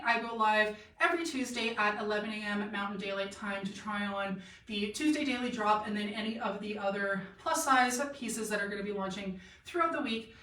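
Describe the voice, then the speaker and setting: A woman's voice: fairly high pitch, a bit bright. Chatty woman in an echoey shop. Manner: chatty, enthusiastic, many fillers, answers viewer comments. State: voice getting tired. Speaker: boutique plus-size model hosting a live try-on stream. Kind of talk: casual live-stream chat. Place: clothing boutique. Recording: echoey room, slightly distant mic.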